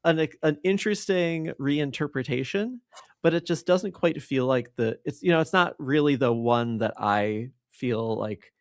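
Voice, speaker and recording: A man's voice: medium pitch, a little slow, no echo. Tactful man podcaster in a dry room. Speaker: podcast co-host. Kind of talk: casual podcast discussion.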